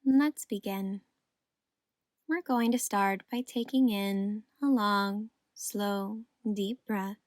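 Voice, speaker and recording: A woman leads a guided meditation at a very slow, unhurried pace. Her delivery is gentle and soothing, with a middle-range pitch. The recording is close and dry, with no room sound. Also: no room sound.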